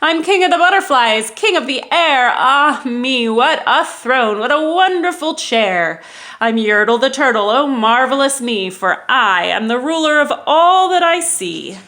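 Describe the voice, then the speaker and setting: A woman voicing a pompous, bossy male turtle king who shouts commands and brags. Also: high-pitched, animated, unhurried, voice pushed up, heavily acted. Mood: gloating.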